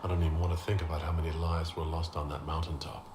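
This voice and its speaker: A man's voice: very deep voice, level tone, fast talker, background noise. An actor playing a stern, resolute male hero in a video game, direct, formal and serious. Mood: grave. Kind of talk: video game dialogue acting. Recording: roomy echo, loud music behind.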